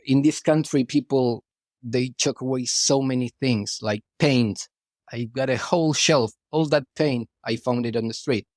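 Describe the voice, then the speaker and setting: A man's voice: medium pitch, unhurried, darkish tone, no room sound, studio quality. Argentine man, loose rambling chat. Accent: Argentine Spanish. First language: Spanish. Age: middle-aged. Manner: loose, rambling, restarts phrases, says "you know" often. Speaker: guest artist. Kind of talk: casual interview chat.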